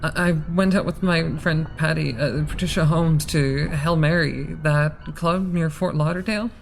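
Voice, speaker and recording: A woman's voice: sits low, fairly even intonation, measured pace, creaky, a little hiss or hum. A young woman playing a frightened, confused newborn vampire in an audio drama, stammering and hesitant. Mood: shaken, confused.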